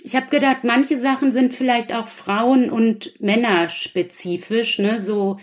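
A woman's voice: on the high side, steady tone, measured pace. A woman chatting informally in a discussion, asking questions and trailing off with small tag words. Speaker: thriller author on a panel. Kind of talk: casual discussion.

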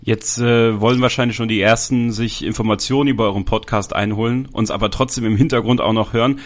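A man hosts a podcast, his voice a little low. He asks his guest questions in a relaxed, fluent way. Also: fast talker. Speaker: podcast host. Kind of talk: casual interview.

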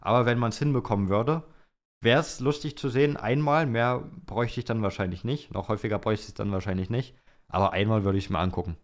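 Male podcast co-host with a fairly low voice, relaxed conversational phrasing that circles back on itself. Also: brisk. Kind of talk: casual chat about motorsport.

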